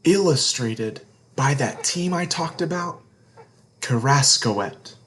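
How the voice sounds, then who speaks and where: Slow-paced man reading a children's storybook. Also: mid-range voice, lively intonation, a bit bright. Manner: deliberate phrasing, emphatic, explains words to young listeners. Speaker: children's read-along host. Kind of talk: expressive storybook read-aloud for children.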